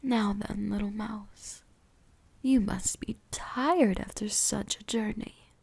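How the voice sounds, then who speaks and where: A woman voicing a possessive, teasing vampire huntress in an ASMR roleplay, breathy and whispery, with a deliberate, softly menacing delivery. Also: medium pitch, steady tone, full character voice.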